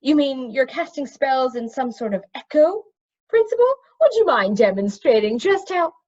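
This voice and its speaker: Storybook magician, male, pedantic and long-winded, read by a woman; high, swooping delivery, slightly muffled recording. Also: very animated, normal speed, darkish tone. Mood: incredulous. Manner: pedantic, precise wording.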